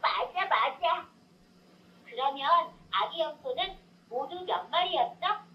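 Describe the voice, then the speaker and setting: A woman's voice: high-pitched, animated, takes it slowly. A woman's synthetic storyteller voice reading a children's tale and asking playful quiz questions, cheering the child on, in a roomy, distant, dull lo-fi recording. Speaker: talking doll storyteller.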